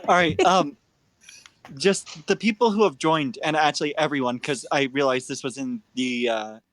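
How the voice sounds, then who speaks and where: Slightly high-voiced man, joking casually. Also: normal speed, a bit bright, dry recording, poor audio. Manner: joking, teasing. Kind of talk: casual banter on a group voice chat.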